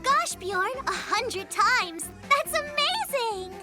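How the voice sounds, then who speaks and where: A small, eager female animal in a kids' cartoon, earnest and a little hesitant. The very high voice is unhurried and full of lively swings, with faint music underneath. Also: exaggerated voice. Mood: admiring. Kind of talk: animated children's cartoon dialogue.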